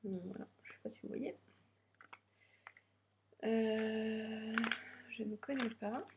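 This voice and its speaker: A woman's voice: fairly high pitch, steady tone, slow, background noise. A young woman with a slightly stuffy nose from a cold, chatting hesitantly with many fillers as she unboxes beauty products. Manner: hesitant, many fillers, nervous first video. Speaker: beauty vlogger. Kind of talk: casual unboxing chat.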